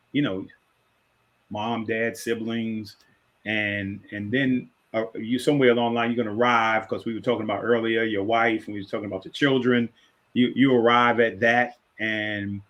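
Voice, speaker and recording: A man hosting a podcast, asking a long question in a rambling, halting way with many repeats. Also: lowish voice, a bit bright. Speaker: podcast host. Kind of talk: interview question.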